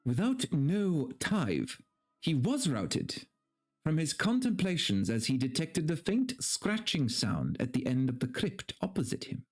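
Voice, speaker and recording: A man's voice: a little high, wildly swooping pitch, a little slow, darkish tone. Guy streaming a hammy dramatic reading, very up and down voice, exasperated little asides, faint music under it. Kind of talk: theatrical story narration with casual asides.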